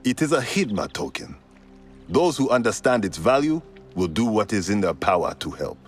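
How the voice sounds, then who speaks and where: Rebel boss in a game, lowish voice that swings around a lot, sardonic, blunt. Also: male voice, very animated, normal speed, soft background music. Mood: determined. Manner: commanding, wry, blunt. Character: bold, stubborn, sardonic rebel leader, a grown man. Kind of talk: video game cutscene drama.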